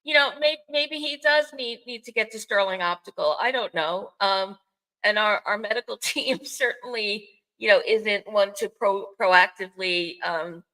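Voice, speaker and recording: A woman's voice: mid-range voice, normal speed, pushed and bright, poor audio. An older woman with a Bronx accent, co-hosting a sports podcast in casual, unscripted chat with wry, self-mocking asides. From the Bronx, New York, United States.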